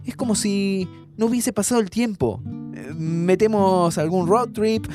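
A young Argentine man with a high, very animated voice. He is hopeful and playful yet pleading, speaking in halting, informal phrases over background music, as in intimate audio fiction. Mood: eager, wistful. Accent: Argentine, Buenos Aires. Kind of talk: naturalistic audio fiction acting.

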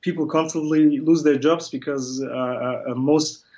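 A man's voice: fairly high pitch, fairly even intonation, moderate pace, mellow, muffled recording. A man in his forties who grew up in Germany, a guest talking casually and self-deprecatingly, full of uhs. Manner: self-deprecating, hesitant, many fillers. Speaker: interview guest, comic illustrator. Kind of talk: casual interview chat.

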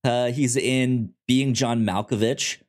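A man co-hosting a podcast, reviewing a show in casual chat, talking haltingly with frequent stammers and restarts. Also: medium pitch, fairly even intonation. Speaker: podcast co-host.

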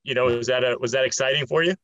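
A man's voice: medium pitch, steady tone, rapid-fire, fairly bright tone, no room sound, highs cut off. A man hosting an interview, who also does some commentating, talking in long, rambling questions full of fillers. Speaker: podcast host and occasional commentator.

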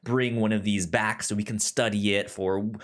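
A man in casual film discussion, stammering on syllables and often halting to rephrase as he goes. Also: lowish voice, fairly even intonation. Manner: halting, rambling, circles back to rephrase. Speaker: film podcast host.

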